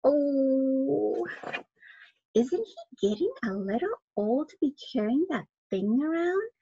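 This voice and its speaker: A woman's voice: high-pitched, big pitch swings, takes it slowly, mellow, dull audio, a little hiss or hum, exaggerated voice. A woman voicing a nosy, disapproving neighbour in a children's storybook, with chiding, know-it-all questions.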